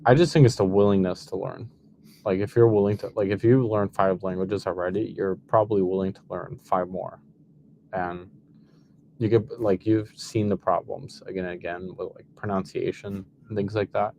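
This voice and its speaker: Quick young man, loose chat. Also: low-pitched, steady tone, creaky, mellow, slight background noise. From United States. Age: 20s. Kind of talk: casual conversation.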